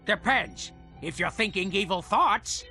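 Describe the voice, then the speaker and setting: Sly cartoon game villain: gravelly edge, lively swoops, sardonic and gleefully wicked. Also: male voice, sits high, measured pace, soft background music, full character voice. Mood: sly, scheming. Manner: sly, theatrical.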